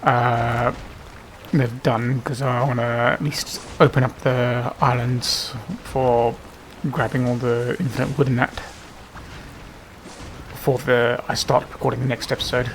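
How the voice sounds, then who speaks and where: A man's voice: mid-range voice, level tone, measured pace, slight background noise, poor audio. A man giving casual gameplay commentary, thinking aloud in loose fragments. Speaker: gaming video host.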